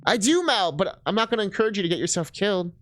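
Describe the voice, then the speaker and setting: A man's voice: sits high, expressive, fast. Man as an earnest, protective young woman adventurer. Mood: tender, resigned. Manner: earnest, gentle, hesitant.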